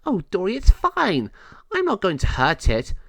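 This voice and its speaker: A performer puts on a carefree young boy cat from a storybook, raised well above natural pitch. The cat is playful and breezy, bouncing up and down in tone. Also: wildly swooping pitch, moderate pace, dry recording.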